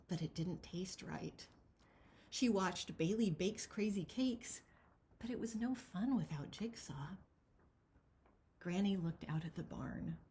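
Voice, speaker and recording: A woman reading a picture book aloud as its author, with expressive, dramatic storytelling. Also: medium pitch. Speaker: children's author reading their own book.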